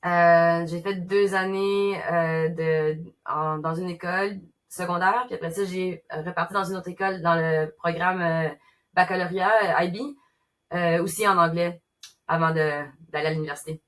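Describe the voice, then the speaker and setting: A woman's voice: lowish voice, fairly even intonation, moderate pace. A woman from Ottawa answering interview questions in a chatty, casual way with fillers. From Canada, Ottawa. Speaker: guest, guitar teacher.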